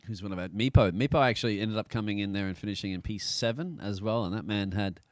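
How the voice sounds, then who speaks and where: Fast-talking low-voiced male commentator. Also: dry recording, clean studio sound. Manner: quick, friendly, calls people mate. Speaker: league commentator and host. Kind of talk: casual live-stream commentary interview.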